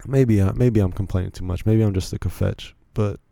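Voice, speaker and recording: A man's voice: fairly low pitch, a little fast, vocal fry, mellow, no room sound, studio quality. A young man from Virginia hosting a solo podcast, rambling casually with frequent restarts and self-deprecating asides. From Virginia, USA. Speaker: podcast host.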